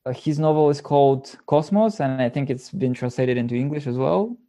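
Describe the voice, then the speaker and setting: A man's voice: mid-range voice, brisk, warm tone. A man from Bulgaria speaking casually as an interview guest, hesitantly searching for words. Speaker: podcast guest, literary translator.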